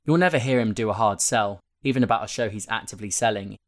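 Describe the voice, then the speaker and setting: A man narrates a long written feature in a fairly low voice. He reads from a script as a narrator, moving through prose and quoted remarks. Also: fast talker. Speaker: narrator reading a newspaper feature. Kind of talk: scripted narration of a print interview, with quotes read out.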